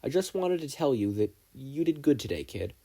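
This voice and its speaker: Guy reading as a stoic stern teacher in a story, measured lecturing, proud of his kids, soft-edged mellow voice. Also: medium pitch, very animated, pitched above the performer's own voice. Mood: quietly proud. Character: stern but proud adult male teacher.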